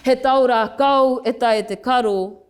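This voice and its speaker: A young woman in her late teens, originally from Samoa, speaks. Her voice sits high. She delivers a rehearsed speech with deliberate pauses, slowing down hard on the lines she wants to land. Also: steady tone, smooth. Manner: emphatic, slows right down on key phrases. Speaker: student speaker. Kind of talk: prepared speech competition oratory. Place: school speech competition.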